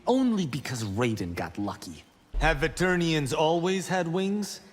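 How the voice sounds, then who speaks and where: An actor playing a cocky, boastful young martial-arts monk in video game fight banter. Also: male voice, on the high side, big pitch swings, unhurried, big-room sound. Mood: defiant. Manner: brash, proud, playful.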